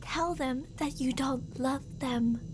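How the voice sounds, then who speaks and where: A woman's voice: sits high, takes it slowly, darkish tone. A woman playing an obsessively jealous, murderous stalker lover in an ASMR roleplay, theatrical and possessive, swinging between coaxing and menace. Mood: cold menace. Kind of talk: ASMR girlfriend roleplay acting. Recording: audible background noise.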